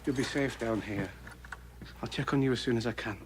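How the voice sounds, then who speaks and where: An actor playing an earnest, timid young man who holds to his sworn promise, speaking gently but firmly. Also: mid-range voice, brisk, lightly acted. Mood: reassuring. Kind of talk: TV drama dialogue. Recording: noisy TV clip played back.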